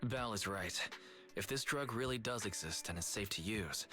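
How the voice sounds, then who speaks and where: A man voicing a calm, sensible young shopkeeper proxy in a video game, measured in delivery. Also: fairly low pitch, faint music behind. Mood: calm, serious.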